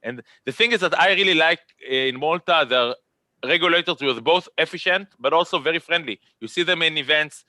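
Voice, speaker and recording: A man's voice: fairly high pitch, a little fast, sharp tone, no room sound. A man with an Israeli accent moderating a virtual conference panel, chatty and rambling, often repeating words.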